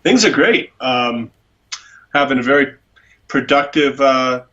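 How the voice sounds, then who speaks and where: A man's voice: mid-range voice, animated, a little slow, bright, forceful tone. Enthusiastic man on a muffled phone line. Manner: enthusiastic, gushing about a speaker. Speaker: animal rights attorney, guest. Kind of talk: phone interview.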